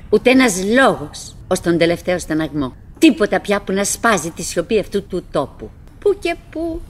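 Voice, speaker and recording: A fretful middle-aged wife in a radio play, with a fairly high voice. She muses in halting phrases to herself and her husband, clinging to good cheer with theatrical care. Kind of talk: theatrical radio drama monologue.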